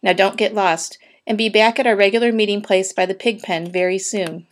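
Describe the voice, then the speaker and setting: A woman voicing a brisk, cheerful middle-aged mother in a storybook. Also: mid-range voice, steady tone, close to an everyday voice.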